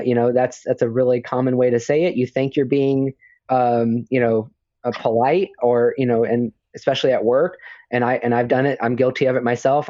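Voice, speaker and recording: A man's voice: mid-range voice, darkish tone, no echo. Hesitant man with muffled lo-fi sound. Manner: hesitant, many fillers and restarts. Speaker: podcast co-host. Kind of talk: casual chat. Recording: dull lo-fi audio.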